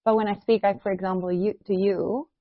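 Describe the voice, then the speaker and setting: A woman's voice: mid-range voice, steady tone, no echo. South African woman, 30s, podcast co-host, talkative, laughs easily; muffled lo-fi, dead dry room. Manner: chatty, laughs often, quick asides. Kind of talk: casual chat.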